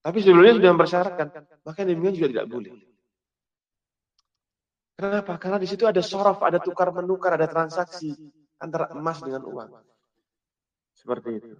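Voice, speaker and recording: A man's voice: high voice, quick pace, pauses often. A man teaching an Islamic lesson in a didactic way, explaining a text through questions and answers. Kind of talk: religious lesson, reading Arabic text and explaining it. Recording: muffled, distant microphone.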